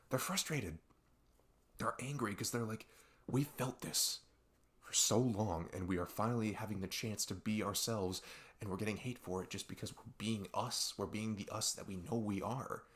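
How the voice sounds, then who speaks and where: A young man playing a best friend who opens up in an intimate ASMR deep talk, rambling and halting, earnest and reflective. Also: a bit bright. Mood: sympathetic frustration. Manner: rambling, halting, reflective, frequent "like" and "you know". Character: earnest male best friend opening up late at night. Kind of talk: intimate ASMR audio roleplay, unscripted-sounding deep talk.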